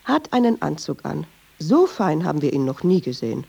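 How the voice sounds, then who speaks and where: Talkative woman storyteller, radio drama, dark mellow tone, animated intonation, wry, colloquial, touchy. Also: low voice, moderate pace, creaky, husky, heavily acted. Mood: sardonic storytelling. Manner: digressive, colloquial, sardonic. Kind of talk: radio play monologue, conversational storytelling.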